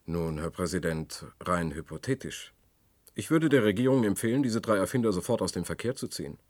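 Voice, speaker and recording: An actor playing a shrewd senior trade union official in a German radio play, formal, eloquent and pointed. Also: male voice, deep voice, lively intonation, normal speed, pauses often, darkish tone, no room sound. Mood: arguing, grave.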